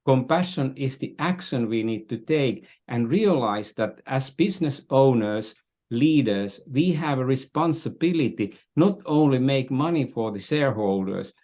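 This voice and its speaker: An older man from Finland speaking as an interview guest, earnestly explaining his views in deliberate, halting phrases. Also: mid-range voice, animated, dark tone. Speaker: podcast guest, business strategist.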